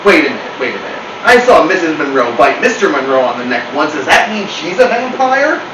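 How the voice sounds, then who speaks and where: A man voicing a naive, excitable pet dog who blurts out incredulous questions. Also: on the high side, a little fast. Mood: puzzled. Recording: roomy, distant mic, clear noise.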